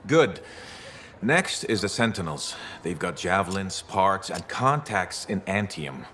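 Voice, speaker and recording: A deep-voiced, steady mentor. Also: male voice, unhurried, slight background noise, exaggerated voice. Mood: pragmatic. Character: steady, pragmatic male mentor to a hero. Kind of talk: video game cutscene acting.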